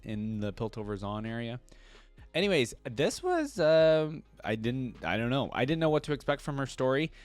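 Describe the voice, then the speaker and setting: Low-pitched man reacting and reading aloud. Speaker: reaction video host. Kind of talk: casual reaction commentary mixed with reading lore aloud.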